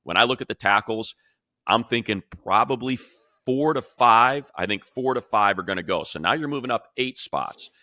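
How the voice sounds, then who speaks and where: A man's voice: mid-range voice, lively intonation, normal speed, bright, forceful tone, no echo, studio quality. A man hosting a sports show, giving analysis in an emphatic, conversational way as he thinks aloud.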